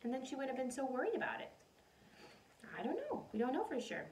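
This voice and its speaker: A woman reading a storybook aloud to children in an expressive, animated way. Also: high voice, fast, vocal fry, a little hiss or hum. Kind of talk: expressive storybook read-aloud with questions to listeners. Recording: roomy echo, distant mic.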